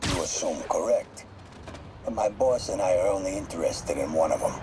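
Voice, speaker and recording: A man's voice: low-pitched, animated, moderate pace, noisy background. An actor playing a cold, menacing mob enforcer in a video game, blunt and controlled as he makes threats. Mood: businesslike. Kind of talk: video game voice acting, dramatic dialogue.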